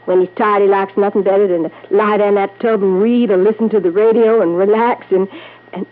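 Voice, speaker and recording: An actress playing an anxious, devoted wife in a 1950s radio drama, earnest and fretful. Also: female voice, medium pitch, steady tone, fairly quick, lightly acted. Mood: worried. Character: anxious, devoted wife, protective of her husband. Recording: narrow old radio recording with hum.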